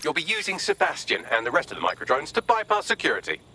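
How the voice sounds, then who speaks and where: Fast, animated, sardonic AI assistant. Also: male voice, a little high, over music. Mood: dry, instructive. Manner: dry, wordy, sarcastic. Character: witty, sardonic artificial intelligence assistant. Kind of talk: scripted video game mission dialogue.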